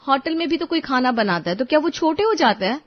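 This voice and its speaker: A woman's voice: high-pitched, expressive, fast talker, dull audio, pitched above the performer's own voice. A woman voicing an earnest, determined young daughter-in-law who reasons politely but firmly in a story. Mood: earnest, defensive.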